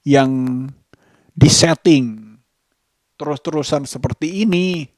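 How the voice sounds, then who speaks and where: A man, giving a casual vlog monologue in halting, deliberate short phrases. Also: mid-range voice, darkish tone, dry recording, clean studio sound. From Indonesia. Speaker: vlogger.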